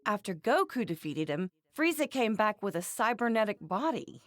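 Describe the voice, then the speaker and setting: A woman's voice: mid-range voice, big pitch swings, moderate pace, no room sound, clean studio sound, natural voice. A woman voicing a fussy, blunt, doting mother in an English anime game, with a dramatic, scolding manner. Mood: matter-of-fact.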